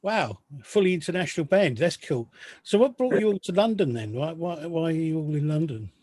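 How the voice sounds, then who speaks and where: A man hosting a music podcast interview, asking questions with many pauses and restarts. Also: on the high side, animated, darkish tone, dry recording. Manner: hesitant, many ums and restarts. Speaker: podcast host. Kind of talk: casual interview.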